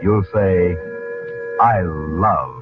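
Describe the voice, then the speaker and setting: A man's voice: deep voice, extremely slow. A man working as a 1940s radio announcer, reading scripted copy with polished, theatrical salesmanship. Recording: tinny old radio broadcast with music. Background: music.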